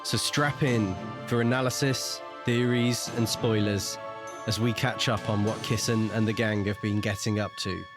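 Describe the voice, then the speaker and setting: A man co-hosting a book discussion podcast, speaking in measured, deliberate phrases. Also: fairly low pitch, fairly even intonation, over loud music. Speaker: podcast co-host.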